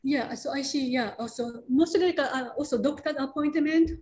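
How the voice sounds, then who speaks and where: Halting woman, Japanese native speaker. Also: sits high, a little mellow, a little hiss or hum. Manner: halting, with many fillers and restarts. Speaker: case manager. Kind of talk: panel discussion on a video call.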